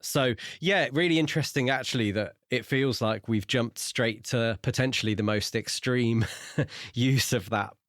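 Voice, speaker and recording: A man's voice: mid-range voice, unhurried, fairly bright tone, no room sound, clean studio sound. A man co-hosting a book podcast, talking through stories in a casual discussion style. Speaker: podcast co-host.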